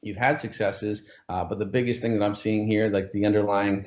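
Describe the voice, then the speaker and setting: A man's voice: on the low side, little pitch movement, fairly quick, roomy sound, lo-fi sound. A man hosting an interview, talking enthusiastically and filling his speech with "like" and "you know". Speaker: course creator, interview host. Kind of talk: casual interview over a call.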